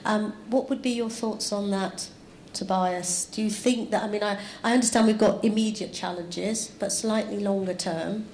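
A woman's voice: medium pitch, steady tone, normal speed, darkish tone, slight background noise. A woman moderating a conference panel with a handheld mic, steadily guiding the questions. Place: large conference room with audience. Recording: echoey hall, faint ambience.

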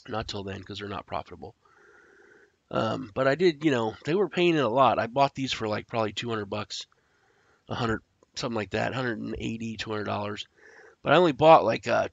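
A man who is sick and stuffed up, casually walking through a screen-share tutorial, rambling with fillers and clearing his throat. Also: mid-range voice, dry recording. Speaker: crypto tutorial host. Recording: dry, dull lo-fi audio.

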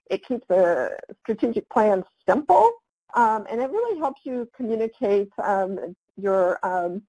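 A woman presenting an online webinar, talking through slides and often pausing with "um". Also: a little high, a little mellow, slightly rough, no room sound, low-quality recording. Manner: halting, with frequent "um" fillers.

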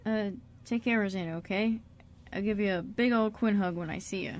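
A woman playing an easygoing, bashful man with a halting, soft-hearted manner in an amateur radio drama. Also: medium pitch, natural voice. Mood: sheepish, warm. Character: Quinn, easygoing, bashful man with a shady past. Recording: noticeable background noise.

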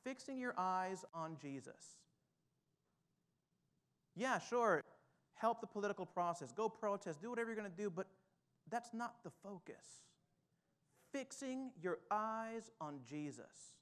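High-voiced male pastor preaching on stage. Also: expressive, measured pace, pauses often. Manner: builds from quiet to fired-up exhortation. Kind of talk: sermon. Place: church stage with drum kit, indoors.

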